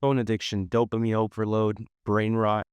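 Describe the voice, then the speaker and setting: A man hosting a podcast interview, putting brisk, direct questions to his guest. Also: on the low side, completely flat delivery, a little mellow, clean tone, dry recording. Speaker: podcast host.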